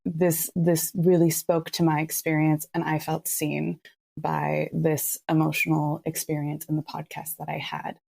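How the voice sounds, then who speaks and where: A woman speaks earnestly and thoughtfully in a reflective interview. Her voice is low, with plenty of creak and a mellow, dark tone. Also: completely flat delivery, a little slow. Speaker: artist and podcast creator.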